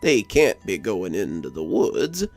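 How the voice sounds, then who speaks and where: A man voicing a grave, watchful army sentry with a measured manner in an audio story. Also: low-pitched, big pitch swings, darkish tone, dry recording, soft background music, pitched below the performer's own voice, full character voice. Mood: grave warning.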